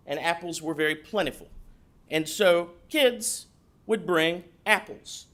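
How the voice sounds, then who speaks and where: A man, a past board chair, giving a formal tribute speech at a board meeting in a loud voice, explaining at length. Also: sits high. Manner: explanatory, storytelling tone.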